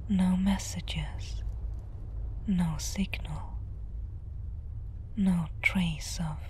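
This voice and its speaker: A woman acts out a world-weary female space explorer, musing wistfully in an ASMR roleplay. Her whisper is breathy and lowish, and she speaks with extreme slowness. An ambient bed of noise sits behind her words. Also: steady tone. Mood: somber, uneasy. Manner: slow, hushed, contemplative. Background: ambient soundscape.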